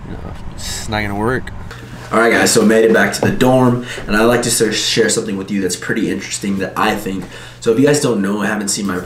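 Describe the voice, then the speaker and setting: A man's voice: lowish voice, fairly bright tone. A young man from Texas chatting casually to camera in a laid-back, slangy way as a vlogger. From Texas, United States. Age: young adult. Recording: clear car and traffic noise.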